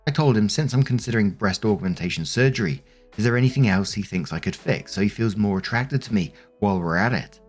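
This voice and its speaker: A man's voice: on the low side, lively intonation, quick pace, faint music behind. A man narrating online posts, reading steadily and adding brief casual asides. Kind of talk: reading posts aloud with commentary.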